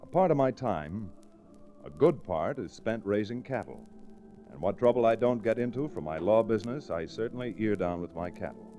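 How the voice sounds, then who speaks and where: An easygoing frontier lawyer, acted by a man for a vintage radio western. The voice is fairly low and mellow, with animated swings and a folksy, self-deprecating way of telling things, on a restored old recording. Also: normal speed, faint music behind, lightly acted. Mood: wry, folksy. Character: easygoing frontier cowtown lawyer and cattleman hero. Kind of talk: 1940s radio western narration and acting.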